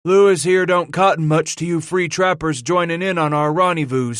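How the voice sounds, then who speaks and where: A man reading aloud, voicing a gruff, grizzled male fur trapper with a frontier drawl. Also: fairly high pitch, normal speed, fairly bright tone, no room sound, studio quality, pitched above the performer's own voice, natural voice. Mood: rowdy.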